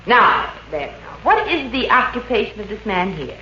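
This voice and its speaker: A woman playing a brassy, quick-witted showgirl with wisecracking, theatrical patter in 1950s radio comedy style. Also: mid-range voice, very animated, close to an everyday voice. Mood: showy patter. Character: brassy, quick-witted showgirl running a mind-reading act. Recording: muffled, noisy 1950s radio recording, roomy.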